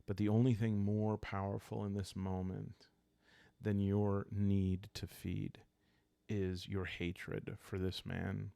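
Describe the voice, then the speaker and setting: A man calmly narrating a solo roleplaying game as he plays, improvising the story in an unhurried, hushed way with dramatic pauses. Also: lowish voice, creaky, clear, resonant tone, no room sound.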